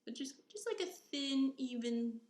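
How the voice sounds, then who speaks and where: A woman hosting a podcast in casual chat, easygoing and quick to laugh as she asks follow-up questions. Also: high voice, lively intonation, darkish tone, roomy sound. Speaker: podcast host.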